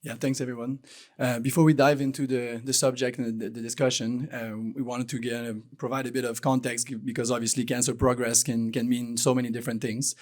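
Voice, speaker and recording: A man's voice: medium pitch, flat delivery, fairly quick. A man moderating a business panel, posing questions with many restarts. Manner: hesitant, repeats words. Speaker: panel co-chair.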